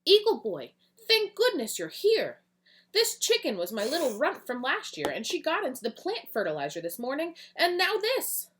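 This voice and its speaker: A woman's voice: high voice, sing-song, normal speed, fairly bright tone, slight background noise, pitched above the performer's own voice. A woman voicing a kind, fussing farm lady in a children's story, flustered and motherly. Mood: panicked. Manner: flustered, pleading, then motherly.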